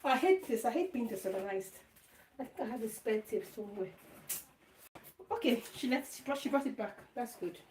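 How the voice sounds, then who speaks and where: A woman with a hoarse, croaky voice from losing it, chatting casually to viewers as she livestreams her sewing at home and talks herself through each step. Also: fairly high pitch, lively intonation, brisk. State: hoarse, lost voice. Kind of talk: casual live chat while sewing. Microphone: phone or camera set up nearby. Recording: echoey room with faint noise.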